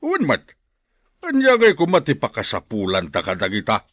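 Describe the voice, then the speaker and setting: A man acts out a wealthy, miserly older man of the village in a radio drama. He is scornful and incredulous, with pitch that leaps all over the place. The sound is close and dry. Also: huge pitch swings, moderate pace, a bit bright, dry recording. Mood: contemptuous. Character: rich, mocking, stingy older village man.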